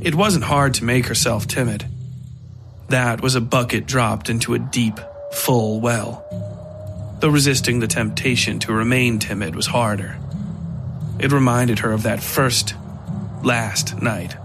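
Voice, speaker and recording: A man's voice: mid-range voice, measured pace. A man reading a scripted horror story as its narrator. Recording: music behind the voice. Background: music.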